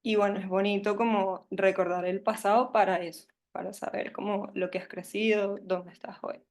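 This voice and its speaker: A woman's voice: mid-range voice, little pitch movement, moderate pace. A young woman from Caracas with a Venezuelan Spanish accent, telling her life story at a workshop in a hesitant, nervous way, full of fillers. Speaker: workshop speaker. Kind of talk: personal storytelling talk.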